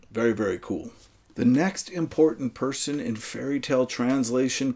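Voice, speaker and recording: A man's voice: on the low side, a little mellow. A man presenting to viewers in an informal, explanatory way, with an enthusiastic manner. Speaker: video presenter on book history.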